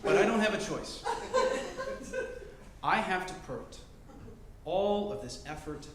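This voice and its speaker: A man in his twenties telling a story on stage, choosing and enunciating each word carefully, with deliberate pauses. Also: high-pitched, lively intonation, over a noisy crowd. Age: 20s. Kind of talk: live storytelling performance. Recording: roomy live-venue sound.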